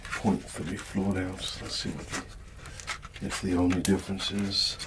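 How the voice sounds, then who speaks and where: A middle-aged man hosting an ASMR video, rambling casually and softly with a hesitant delivery. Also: low-pitched, little pitch movement, slow, noisy background. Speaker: ASMR channel host.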